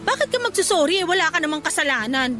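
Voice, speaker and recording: A woman's voice: extremely high pitch, very animated, fast, over music. An actress playing a frustrated, principled female office worker, complaining emphatically in a Filipino radio drama. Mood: uneasy, sincere.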